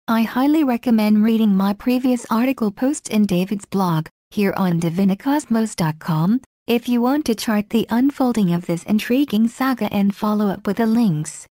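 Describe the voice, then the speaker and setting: A woman reading a blog article aloud in a steady, even manner. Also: mid-range voice, dry recording, studio quality.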